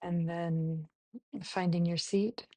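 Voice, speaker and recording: Female meditation guide, mellow and even, gentle short phrases with long pauses, close dry sound. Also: lowish voice, dry recording. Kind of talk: guided body scan meditation.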